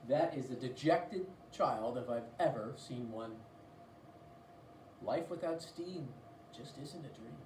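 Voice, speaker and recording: A woman's voice: rumbling low, lively intonation, slow, dark tone. A woman reading a children's story aloud in a playful, theatrical way, with asides to the listener. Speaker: read-aloud storybook reader. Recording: roomy, distant mic, faint noise.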